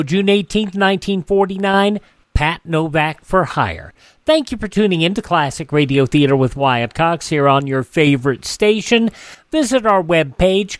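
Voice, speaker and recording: A man's voice: high voice, dry recording. A man hosting a classic radio show, reading a scripted outro. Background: music.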